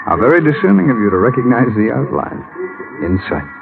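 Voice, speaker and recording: A man playing a very large, quiet, menacing thug in an old radio crime drama, talking smoothly and with veiled threat. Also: mid-range voice, sing-song, fairly quick. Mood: quiet menace. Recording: tinny, noisy vintage radio recording.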